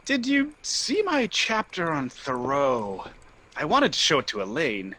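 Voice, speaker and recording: An actor playing a witty, dry-humored young drama critic in an amateur audio drama. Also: male voice, on the high side, wildly swooping pitch, unhurried, bright, forceful tone, full character voice. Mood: wry. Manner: dry, wry banter.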